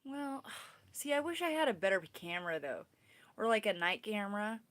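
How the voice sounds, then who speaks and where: A woman's voice: medium pitch, big pitch swings, moderate pace, fairly bright tone. A woman in her thirties hosting a casual livestream, rambling and chatting straight to her viewers. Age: 30s. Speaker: livestream host.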